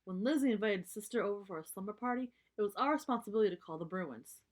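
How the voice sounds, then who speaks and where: A woman voicing a mild, reasonable father bear from a children's storybook in a measured, explanatory way. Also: medium pitch, expressive, a little mellow, lightly acted. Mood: reasoning.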